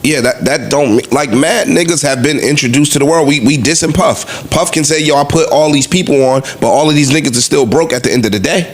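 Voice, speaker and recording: A man's voice: fairly high pitch, a little fast, hardly any pauses. A man in casual podcast debate, explaining his view by laying out examples in everyday slang.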